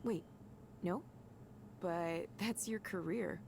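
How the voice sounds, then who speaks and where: A friendly, earnest woman manager, a loyal company type who is easily impressed. Her voice sits a little low, and she chats in a reassuring way over some background noise. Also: slow, creaky, a little mellow. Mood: curious. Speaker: manager of counseling centers. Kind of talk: video game voice acting.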